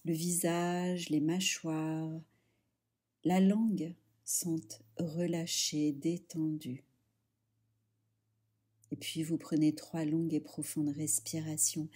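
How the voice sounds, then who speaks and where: Slow, mellow woman guiding relaxation. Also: sits low, husky. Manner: soothing, hushed, instructing in short phrases with long pauses. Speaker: yoga nidra instructor. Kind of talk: guided relaxation meditation.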